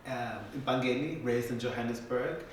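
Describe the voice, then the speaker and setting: A man in his twenties from South Africa, a musician, giving measured and reflective answers in a documentary interview. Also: mid-range voice, very echoey, recorded from a distance, noisy background. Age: 20s. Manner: measured, reflective, argues calmly.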